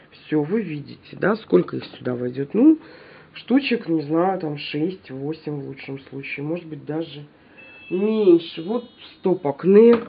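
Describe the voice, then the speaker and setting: A woman's voice: fairly low pitch, dull audio, a little hiss or hum. A woman with a stuffy nose from a cold, chatting casually to her viewers as she shows off a shopping haul at home. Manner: chatty, animated, addresses viewers directly.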